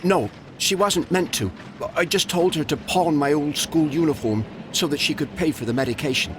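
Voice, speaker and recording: A man playing an anxious, deferential English bathhouse attendant in a Victorian video game, speaking with nervous, halting politeness. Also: fairly high pitch, noisy background, close to an everyday voice. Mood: flustered, confessing. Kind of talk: video game cutscene acting.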